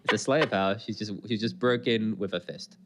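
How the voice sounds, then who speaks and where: A man co-hosting a podcast, giving casual, chatty reaction commentary in an excitable, exclamatory way, often repeating phrases. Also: fairly low pitch, brisk.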